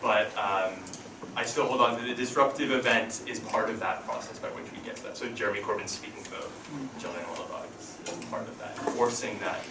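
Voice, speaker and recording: A man's voice: fairly low pitch, a little fast. A man speaking as a seminar panelist, thoughtful and hesitant, restarting sentences and adding "right?" as he goes. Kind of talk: academic panel discussion. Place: university seminar room. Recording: roomy echo, distant mic, noisy background.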